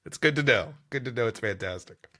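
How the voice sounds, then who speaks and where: Bright-voiced man reading and joking. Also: medium pitch, expressive, a little fast. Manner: reads narration then jokes, laughs often. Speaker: co-host and story reader. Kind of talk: comedy podcast reading with banter.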